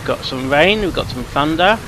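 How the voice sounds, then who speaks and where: A man with a fairly high voice hosts a reaction video, chatting casually as he comments along. There is faint noise behind him. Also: expressive, normal speed. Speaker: host of a reaction channel.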